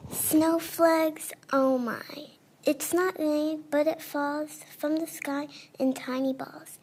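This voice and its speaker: A woman with a very high voice reads a short poem slowly and softly, slipping into a half whisper. She sounds off the mic, with faint clicks and room noise behind her. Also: steady tone, rough recording. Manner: soft, slow, half whispered. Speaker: poetry reader.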